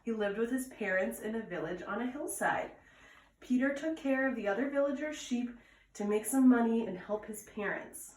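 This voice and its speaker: A woman's voice: a little high, normal speed, a little mellow. A woman reading a children's story aloud as a storytime reader, recorded indoors at home. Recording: roomy echo, distant from mic.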